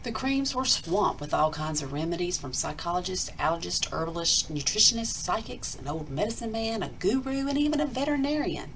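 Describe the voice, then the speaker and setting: Animated woman reading to children. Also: on the low side, fairly quick, hardly any pauses, a little hiss or hum, rough recording. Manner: emphatic, addressing children directly. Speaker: storytime host, school reader. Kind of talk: expressive picture-book reading to children.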